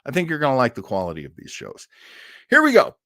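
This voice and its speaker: A man's voice: mid-range voice, huge pitch swings, fast, sharp tone, dry recording, studio quality. A man casually introducing old-time radio shows as a host, in a rambling way.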